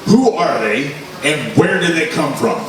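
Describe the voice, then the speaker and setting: Irascible editor-in-chief male actor, barking and bossy, animated pitch, vintage radio style, very reverberant noisy hall. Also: unhurried. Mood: irritated, bossy. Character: blustering, short-tempered male newspaper chief editor. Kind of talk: old-time radio drama acting. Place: live stage recreation in a large hall. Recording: echoey hall from far off, noisy.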